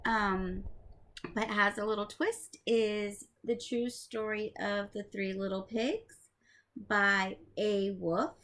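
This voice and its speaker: A woman's voice: medium pitch, fairly even intonation, slow, fairly bright tone. A woman presenting a picture book as a read-aloud storyteller.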